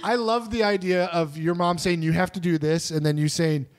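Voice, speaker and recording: A man's voice: high-pitched, fairly even intonation, moderate pace. A man in his thirties hosting a podcast, chatting casually with an upbeat, curious manner and plenty of follow-up questions. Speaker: podcast host. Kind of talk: casual interview chat. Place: small home podcast studio, indoors. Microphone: boom mic, headphones.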